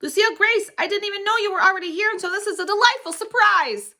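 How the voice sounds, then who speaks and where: A woman voicing an excitable little girl who shouts with high, bouncy squeals. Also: quick pace, full character voice. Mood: excited. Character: excitable loud little girl narrator-heroine.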